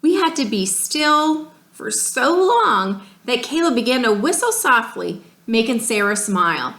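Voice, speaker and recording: A woman's voice: a little high, very animated, unhurried, a bit bright. A woman teacher reading a storybook aloud, expressively and speaking directly to children. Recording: echoey room.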